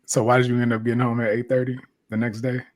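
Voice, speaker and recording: Mid-pitched man explaining calmly. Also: fast talker, crowd noise. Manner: patient, explaining step by step. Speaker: podcast co-host. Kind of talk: casual chat.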